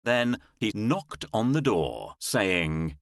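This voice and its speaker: A man narrating a children's fairy tale in a measured, expressive storytelling style. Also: lowish voice, no echo, clean studio sound.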